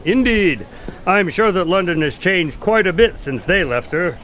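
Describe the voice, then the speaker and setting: A man's voice: high-pitched, animated, unhurried, a bit bright, slight background noise. A man playing a good-natured, sceptical doctor, a detective's sidekick, talking in a casual, slightly bumbling way in a radio drama. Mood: amiable, wry.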